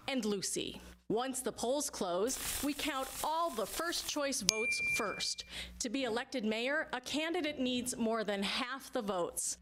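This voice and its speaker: A woman's voice: high-pitched, very noisy. A woman reading a scripted instructional narration as an explainer video narrator. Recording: music behind the voice. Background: background music.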